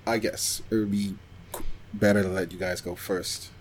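A man co-hosting a podcast in casual chat, rambling and pausing as he recalls details. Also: on the low side, little pitch movement, a little hiss or hum. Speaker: podcast co-host. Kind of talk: casual chat about anime.

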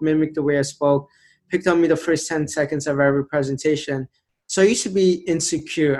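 A man in his late twenties, a motivational speaker telling his story as an interview guest, earnest and emphatic, slowing down to stress key phrases. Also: fairly high pitch, a little mellow. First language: an Indian language. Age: 20s.

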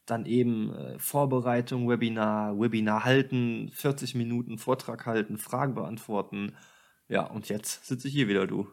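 A man's voice: medium pitch, steady tone, moderate pace. Male podcast host at home: chatty, jokey, voice slightly worn from a day of talking. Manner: jokey, chatty, addresses listeners. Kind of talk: casual podcast chat. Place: home apartment.

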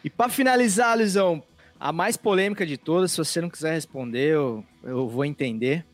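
A man's voice: fairly high pitch, fairly quick, faint music behind. Joking male podcast host. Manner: joking, teasing. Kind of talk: casual chat.

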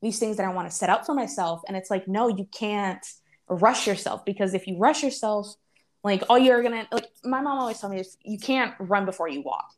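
A woman's voice: medium pitch, fairly quick. Young woman chatting candidly. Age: 20s. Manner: candid, heavy use of "like". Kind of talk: casual podcast chat.

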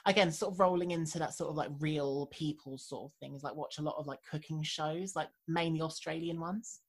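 A woman's voice: sits low, steady tone, fairly quick, husky. A young woman, chatting casually and filling her speech with "like" and "um". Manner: chatty, heavy on "like" and "um". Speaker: podcast co-host. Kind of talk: casual chat.

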